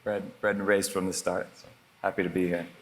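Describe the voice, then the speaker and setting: A man's voice: sits low, flat delivery, fairly quick. A man from Boston, a co-founder, introducing himself briefly on a conference panel.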